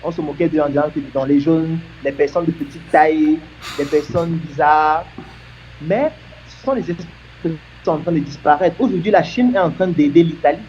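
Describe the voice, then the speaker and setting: A man with a fairly high, mellow voice argues his point earnestly, stacking example on example. The sound is a bit muffled, with a faint hiss underneath. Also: normal speed. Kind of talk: argumentative discussion.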